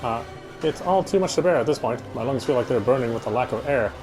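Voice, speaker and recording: A man's voice: medium pitch, animated, fast, over music. A man reading a horror visual novel aloud as first-person narrator, with a dramatic, tense delivery.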